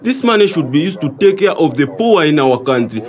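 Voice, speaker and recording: An actor with a Zambian accent playing a friendly, concerned city relative in an audio drama. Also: male voice, fairly high pitch, very animated, a little fast, a little mellow.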